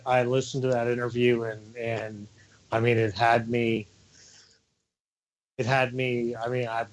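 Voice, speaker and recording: Slow, halting male radio co-host. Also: mid-range voice, slight background noise, rough recording. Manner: halting, many restarts and ums. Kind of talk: casual radio talk.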